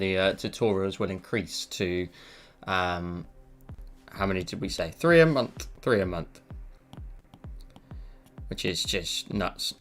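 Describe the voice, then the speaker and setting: Low gravelly guy doing a chill art stream, chatting to chat, faint music behind. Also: fairly bright tone. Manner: chatty, reads and answers viewer messages. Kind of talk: casual live-stream tutorial chat. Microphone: headphones on, desk mic. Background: faint background music.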